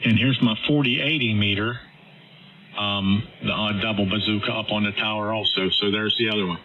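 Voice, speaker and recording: A man's voice: fairly low pitch, fairly even intonation. A man chatting on the air as a ham radio operator, joking and showing off his antennas. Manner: quick, joking, demonstrating gear. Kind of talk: casual on-air chat. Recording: muffled radio signal with light hiss.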